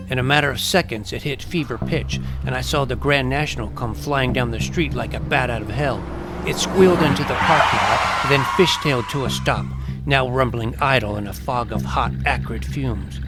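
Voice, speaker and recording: A man's voice: medium pitch, natural voice. An actor playing a wry twelve-year-old boy detective who narrates his own adventure in an audio drama. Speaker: narrator and lead. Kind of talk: scripted first-person story narration. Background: clear music.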